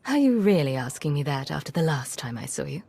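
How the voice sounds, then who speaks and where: A woman's voice: low voice, big pitch swings, fairly quick, creaky, warm tone, slightly rough, full character voice. A woman playing a cool, sardonic imperial agent in a video game, dry, clipped and controlled. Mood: dry, probing.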